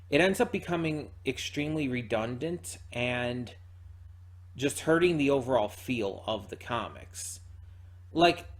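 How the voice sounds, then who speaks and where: A man hosting a reaction channel, giving casual spoken review commentary in a halting way, repeating words as he thinks aloud. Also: medium pitch, slight background noise.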